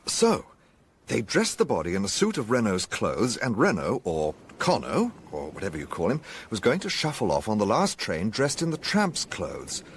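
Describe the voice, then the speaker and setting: An actor playing a detective's impatient, puzzled English gentleman sidekick in a radio drama, with an English accent and an earnest, questioning manner. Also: fairly low pitch, huge pitch swings, moderate pace, slight background noise.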